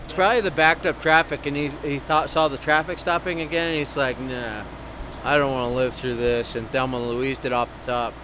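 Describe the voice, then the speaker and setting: A man's voice: mid-range voice, flat delivery, brisk. A man chatting casually on the job outdoors by a roadside, with a folksy, colloquial way of talking. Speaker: vlogger at a truck wreck recovery. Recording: very loud outdoor traffic and vehicle noise.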